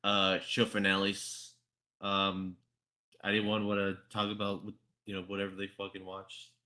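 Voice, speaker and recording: A man hosting a casual group podcast, talking in a halting, repetitive way with casual swearing. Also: deep voice, monotone, sharp tone.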